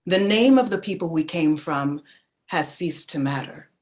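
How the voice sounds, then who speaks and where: A reflective narrator in a literary stage drama played by a woman, remembering childhood. Her voice is a little low. She reads in a measured, deliberate way. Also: expressive, darkish tone. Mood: reflective remembrance. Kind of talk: staged reading of a literary play.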